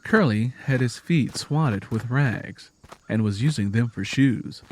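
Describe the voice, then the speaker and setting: A man's voice: medium pitch, slow pace, slight background noise, poor audio. A man reading scripted story narration in a solemn, dramatic manner.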